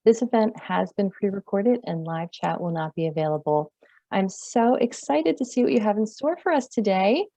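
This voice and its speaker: A woman's voice: medium pitch, animated, normal speed, dark tone, no echo. A woman hosting and introducing a storytime event. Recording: dull lo-fi microphone sound.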